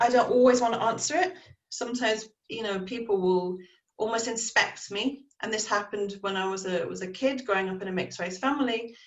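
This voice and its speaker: A middle-aged English woman speaking on a panel, candid and measured as she reflects. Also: medium pitch. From England. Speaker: panelist, community organiser. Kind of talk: panel discussion. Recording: echoey room.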